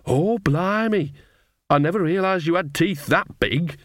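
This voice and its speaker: A man's voice: medium pitch, moderate pace, no echo, studio quality, close to an everyday voice. A man voicing a cheerful, earthy old woman witch with a folksy, chatty manner. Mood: startled.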